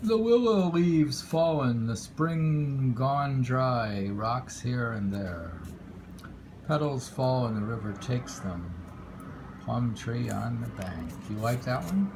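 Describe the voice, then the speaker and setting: A man reading haiku aloud in a deliberate, musing way, pausing between poems and adding casual asides. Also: medium pitch, expressive, creaky. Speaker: poetry reader. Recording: very audible background noise, homemade recording.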